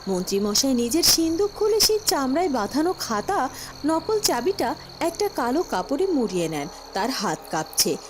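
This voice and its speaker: A woman's voice: high-pitched, sing-song, moderate pace, a little hiss or hum. A woman narrating a scripted fairy-tale cartoon story.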